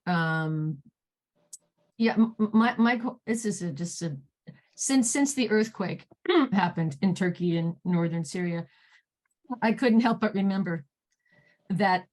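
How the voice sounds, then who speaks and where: A woman moderating an online panel who is losing her voice, halting and clearing her throat as she speaks. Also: lowish voice, darkish tone.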